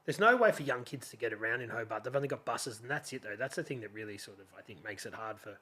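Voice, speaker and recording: A man hosting a podcast, chatting casually with quick interjections and follow-up questions. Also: mid-range voice, expressive, bright, forceful tone. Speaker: podcast host.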